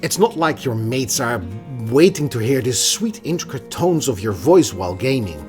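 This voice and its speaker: A man's voice: mid-range voice, expressive, moderate pace, soft background music. A man presenting a product review in a conversational, emphatic way, occasionally stumbling over a word. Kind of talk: scripted product review with casual asides.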